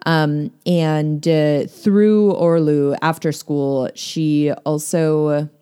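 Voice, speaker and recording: A woman with a low voice talks through a novel in relaxed conversation. She leans on fillers and breaks into laughter easily, keeping it chatty and informal. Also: extremely slow, clean tone. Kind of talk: casual book discussion.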